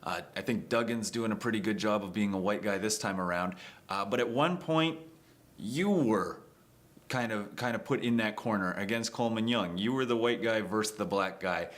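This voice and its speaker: A man with a somewhat low voice hosts an interview and reads out questions for his guest. He keeps things relaxed and conversational, with easy fillers between phrases. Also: normal speed.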